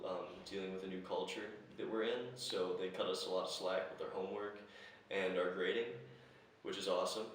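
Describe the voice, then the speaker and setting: Low-voiced young American man, very echoey. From United States. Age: young adult. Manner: relaxed, laughs easily. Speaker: interviewee. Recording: very echoey, distant, faint noise.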